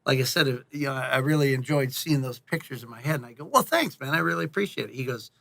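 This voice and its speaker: A man's voice: mid-range voice, animated, fast, creaky, fairly bright tone, dry recording. A middle-aged man chatting casually as a podcast guest, telling anecdotes in a conversational way. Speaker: guest, audio series creator. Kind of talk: casual podcast interview chat.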